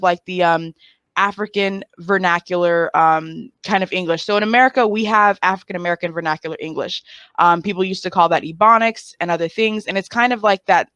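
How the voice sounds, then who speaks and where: Haitian-born woman comic, animated and jokey, lots of ums, dry sound. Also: fairly low pitch, dry recording. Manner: animated, joking, lots of fillers like "um". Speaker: guest, stand-up comedian. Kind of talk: casual interview chat.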